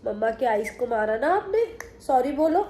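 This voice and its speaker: A young woman, a mother, chatting to the camera at home in a casual family vlog and coaxing her toddler, her voice hoarse from a cold. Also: on the high side, big pitch swings, moderate pace, warm tone, slight background noise. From Himalayan hill region of India.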